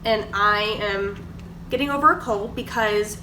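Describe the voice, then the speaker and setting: A woman's voice: mid-range voice, a little slow. A young woman, stuffy from a cold, chatting casually through her makeup routine at her kitchen table. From Georgia, US. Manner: chatty, filler-heavy, explaining products. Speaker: beauty vlogger. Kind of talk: casual get-ready-with-me chat. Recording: echoey room, distant mic, background noise.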